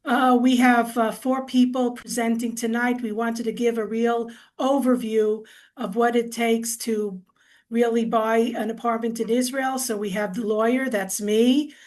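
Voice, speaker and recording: A woman lawyer hosts a webinar, her voice sitting fairly high. She welcomes the guests and lays out the program, stopping now and then for fillers. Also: fairly even intonation, unhurried, a little mellow. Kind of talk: opening a webinar.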